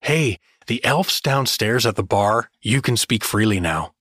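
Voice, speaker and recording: Hapless everyman adventurer, sarcastic, very animated, unhurried, dry close recording, comic fantasy audio drama. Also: fairly low pitch, husky, no room sound, full character voice. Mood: sarcastic, grumbling. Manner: sarcastic, hesitant, joking. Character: wounded, wisecracking hapless everyman hero in fantasy. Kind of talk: comedic audio drama acting.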